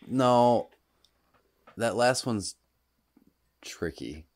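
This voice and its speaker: A man's voice: mid-range voice, lively intonation, very slow, no room sound, clean studio sound. A man co-hosting a podcast in casual chat, hesitant and choosing his words carefully. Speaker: podcast co-host.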